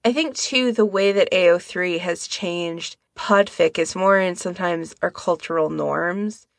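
A woman co-hosting a podcast in a casual discussion, with a creaky voice. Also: lowish voice, a little slow, no echo, clean studio sound. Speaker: podcast co-host.

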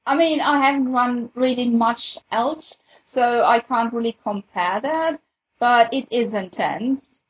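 Husky higher-voiced woman, slightly muffled recording. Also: takes it slowly. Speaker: podcast participant, fan event organiser. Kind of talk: casual podcast conversation. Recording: dull, lo-fi voice recording.

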